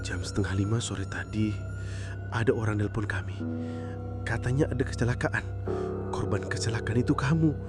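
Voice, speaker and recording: A man voicing a shaken, grieving older father in a horror story, halting and hushed, with a hoarse tremble. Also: fairly low pitch, music in the background, pitched below the performer's own voice, close to an everyday voice. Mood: grave, shaken. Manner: halting, subdued.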